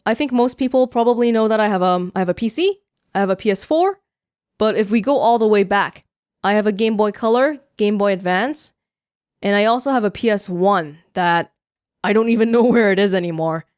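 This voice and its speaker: A woman's voice: medium pitch, fairly quick, darkish tone, smooth, dry recording. A young woman from Canada answering viewer questions in a casual vlog, chatty and self-mocking, speaking close to the microphone. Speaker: YouTuber. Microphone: close studio mic.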